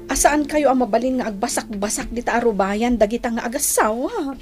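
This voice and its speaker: An actress playing a poor, devout and patient housewife in an Ilocano radio drama. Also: female voice, a little high, animated, a little fast, a little mellow, husky, soft background music. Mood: concerned, pious.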